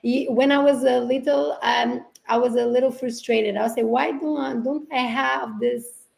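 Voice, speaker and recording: A woman whose first language is Portuguese, with a deep gravelly rasp and a pitch on the high side for a woman. She speaks reflectively, circling back and restarting as she reaches for the right English words. She is heard over a video call. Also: fairly even intonation, normal speed, darkish tone. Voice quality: gravelly low-toned voice. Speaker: guest. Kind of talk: casual conversational interview.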